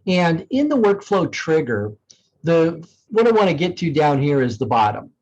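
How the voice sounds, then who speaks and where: A man with a fairly high voice walks through software as a demonstrator. He explains things conversationally, thinking aloud as he goes. The recording is somewhat dull and lo-fi. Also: sing-song, moderate pace. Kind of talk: informal software demo walkthrough.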